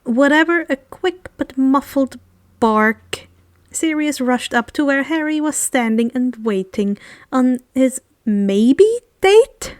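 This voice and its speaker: High-voiced woman reading a story aloud. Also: animated, takes it slowly, dry recording. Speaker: amateur audiobook reader. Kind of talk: expressive story narration.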